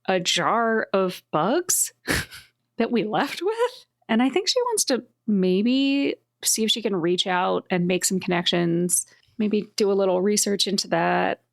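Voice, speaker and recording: A woman's voice: mid-range voice, vocal fry. A woman chatting casually as a cast member on a podcast. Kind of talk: casual chat.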